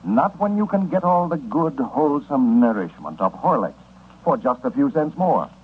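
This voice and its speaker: A man's voice: mid-range voice, very animated, normal speed. An American man as a 1930s radio announcer, delivering scripted advertising and story introductions in an emphatic, folksy sales style. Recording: dull, noisy 1930s radio recording.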